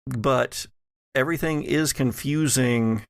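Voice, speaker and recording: A man running a horror tabletop game as game master, narrating in deliberate, dramatic short phrases. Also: mid-range voice, creaky, very noisy. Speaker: game master and podcast host.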